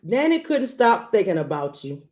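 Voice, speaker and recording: A woman reading a children's picture book aloud, adding gentle explanatory asides for young listeners. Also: medium pitch, sing-song, moderate pace. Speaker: read-aloud storyteller.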